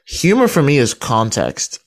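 A man's voice: medium pitch, wildly swooping pitch. Thoughtful man reasoning aloud on radio. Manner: thoughtful, halting, repeats words while reasoning aloud. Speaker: radio show guest. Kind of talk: casual reflective discussion.

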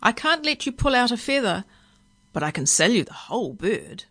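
A woman's voice: on the high side, sing-song, moderate pace, a bit bright, voice pushed up. A woman voicing a helpful, persuasive male pet shop owner in a storybook. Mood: coaxing.

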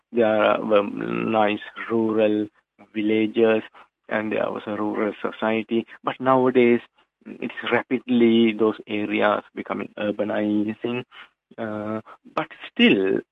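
A man's voice: on the low side, creaky, no echo. A man in his thirties from Sri Lanka with a Sri Lankan accent, answering interview questions hesitantly with many fillers and restarts. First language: Sinhala. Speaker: radio show host, guest. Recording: dry tinny phone-like line.

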